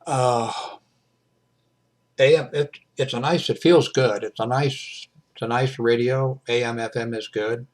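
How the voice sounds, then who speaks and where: A man in his seventies talking casually and haltingly in an unscripted home video review, with frequent mid-sentence pauses. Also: medium pitch, steady tone. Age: 70s. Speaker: radio hobbyist reviewing a portable shortwave radio. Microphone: webcam microphone.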